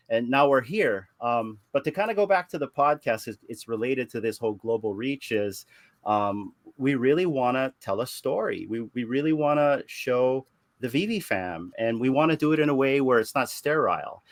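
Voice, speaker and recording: A man talking casually on a video call, halting and repeating words as he tells a story. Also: mid-range voice, lively intonation, no room sound. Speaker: podcast host guest.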